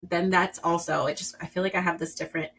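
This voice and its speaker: A woman in her thirties speaks candidly as an interview guest, pressing each point with emphasis. Her voice sits a little low in her range and jumps up loudly when she pushes hard. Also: fairly even intonation, fast talker. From Puerto Rican and Dominican background. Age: 30s. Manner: earnest, emphatic, rising to a loud pitch when stressing a point. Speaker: podcast guest.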